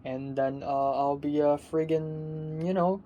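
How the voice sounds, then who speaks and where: A young man giving a casual diary vlog from his apartment. His voice is muffled and raspy, and he talks hesitantly, rambling with many ums and restarts. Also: a little high, steady tone, slow pace, warm tone, faint music behind. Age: young adult. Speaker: vlogger.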